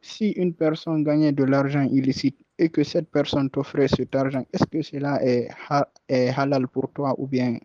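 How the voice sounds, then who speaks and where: A man's voice: mid-range voice, little pitch movement, normal speed, few pauses, dark tone, no room sound. A man asking a question on an online call, hesitant and trailing off with fillers. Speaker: caller. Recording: dull dry call audio.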